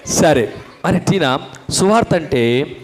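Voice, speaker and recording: A man's voice: fairly high pitch, lively intonation, slow, rough recording. A man working as a Sunday school puppet show host, talking to children, prompting them questions and repeating the answers.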